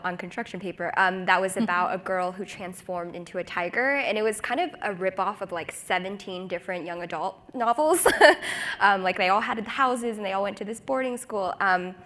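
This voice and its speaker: A woman on a writers' panel, eager and quick to laugh, with lots of fillers. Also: mid-range voice, a bit bright. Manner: enthusiastic, laughs often, many fillers. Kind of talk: panel discussion. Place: conference stage.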